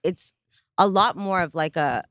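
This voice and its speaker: A woman's voice: lowish voice, unhurried, a bit bright, clean tone, dry recording, studio quality. A woman from the Bahamas, a panel guest talking hesitantly in a casual group discussion. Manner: hesitant, searching for words.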